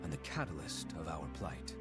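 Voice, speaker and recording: Solemn male storyteller for a fantasy game cutscene, very low register, measured and portentous, loud score underneath. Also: sing-song, over loud music. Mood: grave, foreboding. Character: solemn deep-voiced male narrator, a companion recalling their journey. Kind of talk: scripted dramatic story narration.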